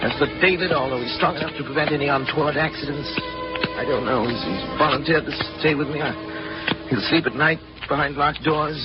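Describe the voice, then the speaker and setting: 1940s radio lead for a man: obsessive scientist losing his mind, intense, halting, dull vintage sound. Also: fairly high pitch, close to an everyday voice. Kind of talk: 1940s radio drama acting with journal narration. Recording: muffled 1940s radio broadcast, music behind.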